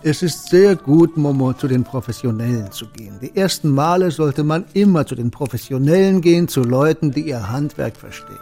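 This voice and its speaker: An older man playing a kindly, wry old shopkeeper in a radio play, fatherly, gently teasing and deliberate. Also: fairly high pitch, animated, soft background music. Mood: wry, gentle.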